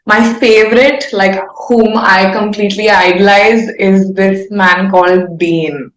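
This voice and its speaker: A woman at a medium pitch. She is candid and informal, effusive and fond of filler words like "like". The recording is roomy, with noticeable echo. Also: steady tone, unhurried. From India. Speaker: singer-songwriter guest. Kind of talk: candid casual interview chat. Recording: roomy, echoing sound.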